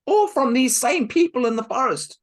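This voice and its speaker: Brief: man putting on a spoiled girl's voice, high and loud, insistent and whiny, a bit gravelly underneath. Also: lively intonation, normal speed. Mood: demanding. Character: spoiled demanding young girl.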